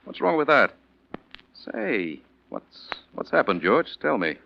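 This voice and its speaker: A man's voice: medium pitch, sing-song, slow, creaky, a little hiss or hum, exaggerated voice. A man playing a casual, puzzled next-door neighbour in a 1940s radio drama, speaking in a questioning way. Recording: muffled old radio recording.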